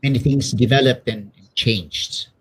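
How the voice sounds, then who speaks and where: A man's voice: mid-range voice, measured pace, breathy edge. Hesitant middle-aged Malaysian man telling his story. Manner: hesitant, repeats words, tags with "you know". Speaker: missionary priest being interviewed. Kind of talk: casual personal storytelling.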